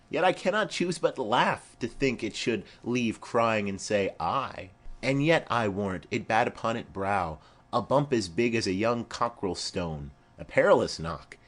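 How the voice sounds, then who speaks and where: A man voicing a garrulous, fond old female nurse in a Shakespeare play, rambling and exclamatory. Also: medium pitch. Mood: amused, rambling. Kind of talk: amateur-style Shakespeare play reading.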